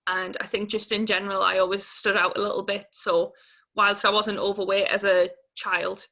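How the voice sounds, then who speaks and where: A woman's voice: mid-range voice, level tone, moderate pace, sharp tone. A woman, giving a candid personal account in an informal, hesitant way as an interviewee. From Newcastle upon Tyne, England. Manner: informal, hesitant, peppered with 'like'.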